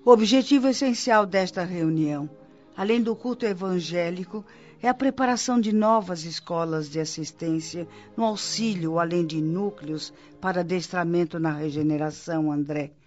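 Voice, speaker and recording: Actor as high-voiced, patient Brazilian spirit mentor. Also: male voice, natural voice. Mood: patient, earnest. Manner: didactic, measured, reassuring. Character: wise, patient male spirit mentor, instructing a friend. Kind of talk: radio drama acting. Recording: dull lo-fi radio drama, music behind. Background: music.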